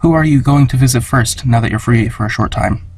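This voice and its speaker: Husky man casually reading out questions. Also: mid-range voice, brisk, darkish tone. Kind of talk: casual reading of audience questions in a comic dub.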